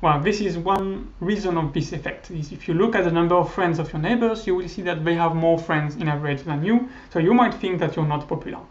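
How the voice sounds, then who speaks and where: A man's voice: on the high side, fairly quick, few pauses. A man in his thirties whose first language is French, giving a recorded university lecture over slides, hesitant, with many fillers and restarts. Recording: slightly dull lo-fi audio.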